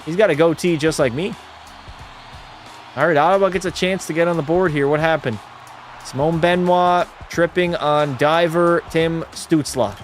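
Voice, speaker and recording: Male streamer calling hockey and chatting with viewers, a touch high-pitched, laid-back delivery. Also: moderate pace, soft background music. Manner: relaxed, drops into terse hockey call mode. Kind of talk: casual play-by-play commentary and chat reading.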